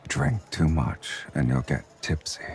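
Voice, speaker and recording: A smooth underworld leader in a romance game, with an extremely low voice. He takes his time with each line, teasing and intimate, devoted beneath the confidence. Music plays behind him. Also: sing-song, darkish tone, full character voice. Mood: calm, intimate. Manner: slow, teasing, intimate delivery.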